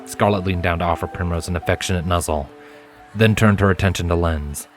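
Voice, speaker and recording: A man with a low-pitched voice narrates a story in audiobook style. Soft music plays behind his scripted reading. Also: fairly even intonation, fairly quick. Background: background music.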